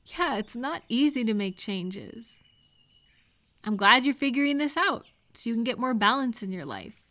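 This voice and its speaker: A woman playing a supportive, gentle friend in an intimate, casual audio roleplay, speaking in a reassuring, unhurried way to the listener. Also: a little high, animated. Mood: tender, encouraging.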